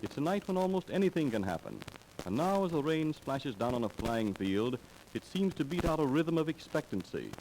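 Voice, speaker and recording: A man's voice: fairly high pitch, big pitch swings, a little fast, noisy background. A man announcing and narrating in dramatic, scripted 1930s radio style. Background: music.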